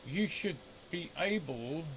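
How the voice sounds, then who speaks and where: Grumbling higher-voiced man over noisy background. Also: animated, takes it slowly, fairly bright tone. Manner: informal, grumbling, thinking aloud. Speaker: amateur radio hobbyist and video host. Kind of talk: casual unscripted shack demonstration, muttering through troubleshooting. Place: amateur radio shack at home.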